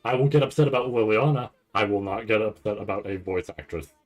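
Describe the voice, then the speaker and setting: A man giving casual live reaction commentary, nervous and rambling, halting and repeating his words as he goes. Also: fairly low pitch. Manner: anxious, nervous anticipation. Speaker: anime commentator reacting to a livestream event.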